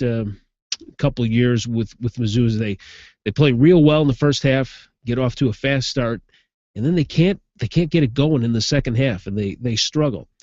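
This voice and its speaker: A man's voice: mid-range voice, dry recording, highs cut off. Stuffed-up man recapping football. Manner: conversational, gets animated when amused. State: stuffed up from a sinus infection. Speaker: sports radio host. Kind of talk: casual football recap commentary.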